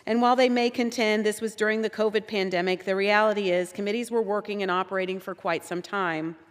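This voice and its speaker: Measured woman in a legislative chamber. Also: mid-range voice, fairly even intonation. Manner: measured, reading prepared remarks. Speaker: congresswoman in floor debate. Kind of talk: House floor speech. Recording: long hall reverb.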